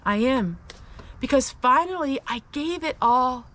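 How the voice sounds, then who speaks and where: Female faith vlogger, raised pitch, very measured pace, earnest and emphatic personal encouragement. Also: animated, a bit bright. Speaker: host of a faith-based personal vlog.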